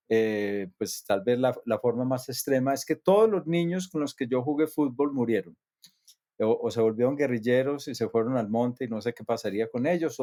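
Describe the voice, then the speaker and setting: A man's voice: mid-range voice, darkish tone. A man from near Medellín with a Colombian accent, a guest telling his life story in a thoughtful way with many hesitations. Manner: thoughtful, frequent hesitations and restarts. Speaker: guest, psychotherapist and actor. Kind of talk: reflective personal storytelling.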